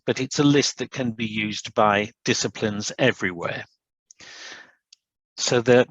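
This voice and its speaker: A man's voice: lowish voice, fairly bright tone, no room sound, lo-fi sound. A man from a business school presenting at an academic conference, hesitant with many uhs.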